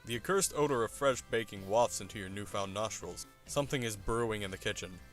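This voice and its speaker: A man's voice: on the low side, brisk, faint music behind. A man reading scripted comic narration in a wry, theatrical manner.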